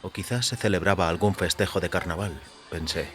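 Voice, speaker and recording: A man giving a measured, literary, dramatic narration of a short story in a deliberate manner. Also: low-pitched, soft background music. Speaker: audio fiction narrator.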